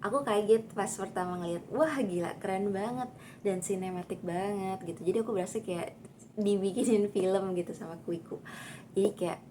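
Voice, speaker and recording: A woman's voice: mid-range voice, fairly even intonation, normal speed, dark tone. A young woman author casually chatting about her own book in an upbeat, filler-heavy way. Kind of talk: casual book talk vlog. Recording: audible background noise.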